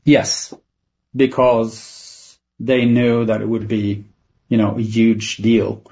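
This is a Swedish man with a Swedish accent and a raspy, fry-laden voice that stays fairly flat. He speaks as a non-native speaker, answering an interviewer in a reflective, candid and conversational style. The sound is slightly dull. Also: lowish voice, slow. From Sweden. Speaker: tech entrepreneur interviewed as a guest.

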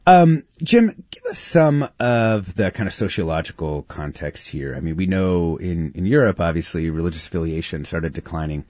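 A man's voice: low-pitched, expressive, moderate pace, few pauses, darkish tone, no room sound, dull audio. A man with an American accent hosting a radio talk show, moving between scripted introductions and interview questions.

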